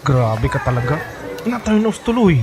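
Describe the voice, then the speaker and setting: A man's voice: fairly high pitch, sing-song, normal speed, a crowd in the background. A man playing a protective, teasing college-age best friend in a Filipino radio drama, breezy and playful. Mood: teasing, amused. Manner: breezy, playful, laughs often. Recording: music bed under dialogue.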